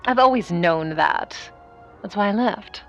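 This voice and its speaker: Defiant young 1950s aspiring actress, music behind. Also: female voice, mid-range voice, expressive, a little slow, dull audio. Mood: determined. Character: ambitious, hard-boiled young aspiring actress, vintage 1950s woman. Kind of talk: vintage audio drama acting.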